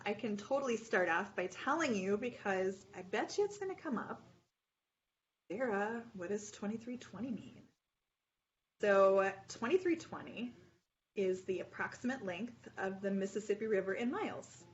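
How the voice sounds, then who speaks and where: A woman's voice: medium pitch, highs cut off. A woman who owns a yarn shop and dyes the yarn herself, chatting in an upbeat, casual way and laughing often. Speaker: yarn shop owner and hand dyer. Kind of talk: casual video-call chat. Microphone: video call.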